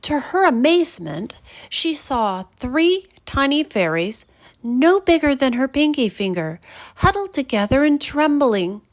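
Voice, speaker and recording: Slow, lilting female bedtime storyteller. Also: sits high, sing-song. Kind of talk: scripted children's bedtime story narration.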